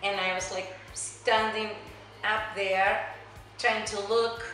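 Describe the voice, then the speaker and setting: A middle-aged woman with a strong Argentine Spanish accent chats loosely about her life, dropping plenty of "you know"s. Her voice sits at an ordinary pitch for a woman. The recording rings with heavy room echo, and clear music plays behind her. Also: little pitch movement, slow, pushed and bright. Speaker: immigrant interviewee. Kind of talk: casual oral history interview.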